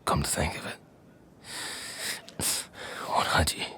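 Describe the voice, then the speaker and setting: An actor playing a bitter, sardonic, wounded man in an audio drama, speaking in a hushed, breathy voice. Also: slight background noise. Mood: probing. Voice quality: breathy, whispery, very deep voice. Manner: slow, hushed, probing and sardonic.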